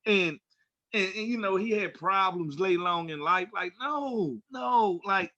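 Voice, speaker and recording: A man's voice: high voice, a little slow, bright, forceful tone, no echo, lo-fi sound. A man co-hosting sports talk, giving opinions in an emphatic, colloquial, storytelling way. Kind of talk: opinionated casual sports commentary.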